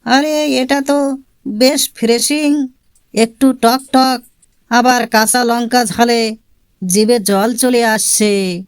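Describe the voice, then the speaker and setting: A woman's voice: on the high side, fairly even intonation, slow pace, pauses often, clean tone, no room sound, clean studio sound. A woman voicing a naive, wide-eyed young village lad in a comic cartoon, with a questioning manner. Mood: pleasantly surprised.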